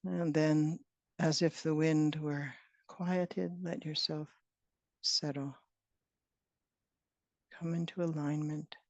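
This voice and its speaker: A woman leading a guided meditation as a teacher, speaking in gentle, unhurried phrases with long pauses. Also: low-pitched, level tone, dry recording. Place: online retreat session, close dry sound. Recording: dull, band-limited online call audio.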